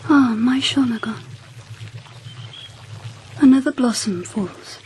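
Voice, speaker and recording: Regal empress, radio drama, stately, measured, reflective, ambient noise behind. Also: female voice, fairly high pitch, a little mellow. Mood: wistful, musing. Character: regal, reflective empress of 10th century Japan.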